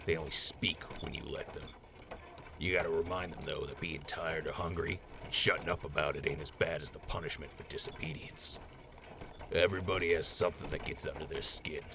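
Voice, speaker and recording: A man acting as a gruff, brutal slave-breaking raider in an audio drama, blunt, coarse and coldly threatening. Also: extremely low pitch, background noise.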